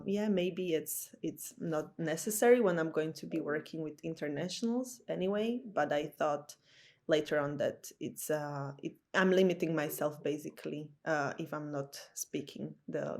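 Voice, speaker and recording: A woman, a podcast guest speaking English hesitantly with frequent fillers. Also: on the low side. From Slovakia. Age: 20s. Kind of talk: casual interview talk.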